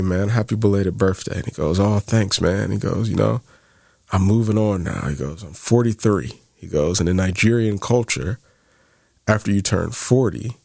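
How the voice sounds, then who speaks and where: A man's voice: low voice, creaky, darkish tone, no room sound, studio quality. A man in his thirties co-hosting a faith-based podcast, telling stories in a casual way with many fillers. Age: 30s. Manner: storytelling, quoting others, many fillers like "like". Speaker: podcast co-host.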